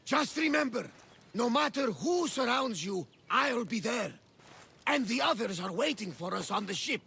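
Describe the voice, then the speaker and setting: An actor playing a devoted, reassuring companion in a video game cutscene, with a gravelly voice. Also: male voice, extremely high pitch, lively intonation, moderate pace, sharp tone, exaggerated voice. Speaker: game character.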